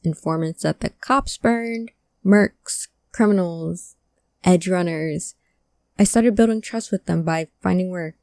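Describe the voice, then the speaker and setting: A woman acting an earnest, emotional hacker searching for a lost friend in a cyberpunk audio drama, with halting delivery. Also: lowish voice, a little mellow, dry recording, clean studio sound. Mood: grim, resolute. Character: distressed, determined hacker woman seeking her lost friend.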